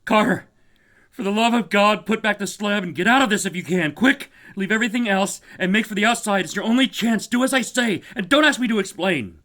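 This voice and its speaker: Horror story victim: doomed, frantic, hoarse and quavering, voice driven high and loud. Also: male voice, a little fast. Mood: screaming panic. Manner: breathless short exclamations and pleas. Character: terrified doomed man calling up from a tomb by telephone.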